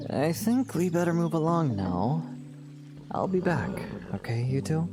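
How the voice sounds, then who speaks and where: A man playing a calm, protective young knight in a fantasy audio drama, speaking softly and reassuringly. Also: fairly high pitch, a little slow. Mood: friendly. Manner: soft, reassuring. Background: music.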